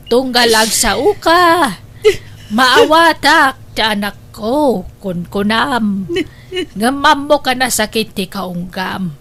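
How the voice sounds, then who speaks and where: A woman with an Ilocano accent acting a gentle, devoted, sorrowful mother in a radio drama, with emotive delivery. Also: on the high side, full character voice. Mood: upset.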